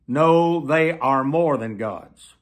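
An elderly man preaching to the camera in an emphatic, deliberate manner, often repeating phrases for weight. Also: on the high side. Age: 70s. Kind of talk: sermon to camera. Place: small plain room indoors.

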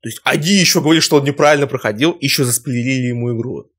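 A man's voice: mid-range voice, rapid-fire, fairly bright tone. A young man giving casual reaction commentary in an exclamatory, slangy way with mild swearing. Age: young adult. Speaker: reaction video host. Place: small room at home, indoors.

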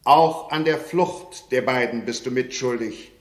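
Man doing a stern formal judge reading out charges, middle pitch, echoey 60s radio play. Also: a little slow, natural voice. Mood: stern, official. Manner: formal, declamatory. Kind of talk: 1960s German radio drama acting. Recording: echoey 1960s radio studio recording.

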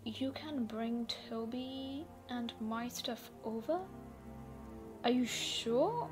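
Actress as unbothered female gamer captive, fairly high voice, playful teasing, intimate audio roleplay, soft music behind. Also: takes it slowly. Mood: hesitant. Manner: playful, nonchalant, gently teasing. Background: background music.

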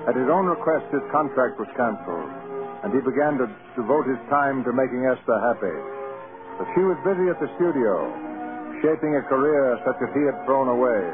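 A man narrating a vintage radio drama as a measured, scripted storyteller. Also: mid-range voice, phone-quality sound, close to an everyday voice. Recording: thin vintage radio broadcast.

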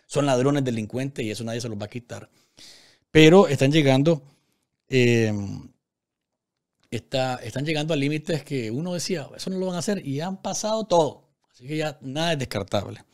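A man's voice: a little high, a little mellow. A man, giving opinionated news commentary from a small studio desk, emphatic and indignant, with frequent hesitations. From Nicaragua. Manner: indignant, emphatic, talks straight to viewers with many fillers. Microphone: desk microphone on stand.

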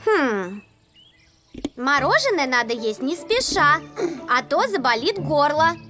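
A woman playing a bossy, eager little girl in an animated children's cartoon, expressive and admonishing. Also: high-pitched, slow, full character voice. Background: music.

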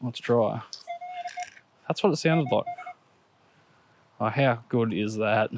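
Australian man, 30s, casual outdoor vlogger, mid pitch, chatty and excitable, light background noise. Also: slow. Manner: excitable, laughs at his own finds, chatty asides to viewers. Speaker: metal detecting vlogger. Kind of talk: casual commentary while detecting. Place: outdoors on a grassy park lawn. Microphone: action camera on the body or set on the ground.

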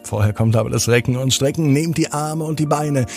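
A man hosting a children's podcast, narrating bedtime stories in a gentle, deliberate manner and addressing children directly. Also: medium pitch, vocal fry, soft background music.

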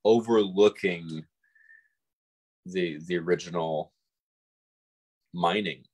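A middle-aged man with a husky voice, chatting casually as co-host on a video call. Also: sits low, slow pace, darkish tone, rough recording. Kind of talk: casual conversation. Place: room at home, on a video call. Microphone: device mic on a video call.

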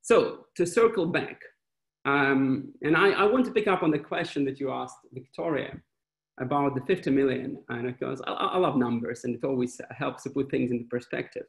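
A man's voice: mid-range voice, normal speed, creaky. A man in his thirties, a venture fund panelist on a webinar video call, talking animatedly with many fillers and restarts. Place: small indoor room with wall clock. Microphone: laptop webcam video call. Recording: echoey room on a video call.

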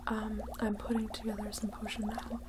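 A young woman in her twenties playing a reclusive, awkward witch in a soft-spoken ASMR roleplay, hesitant and low-key. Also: completely flat delivery, normal speed, natural voice. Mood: calm, obliging. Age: 20s. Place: indoors, small set with painted backdrop. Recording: clear background noise, bubbling ambience.

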